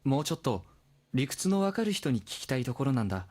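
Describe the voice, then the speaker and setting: A man's voice: mid-range voice, very animated, very fast, darkish tone. An actor playing a probing but polite and apologetic male investigator in a Japanese visual novel.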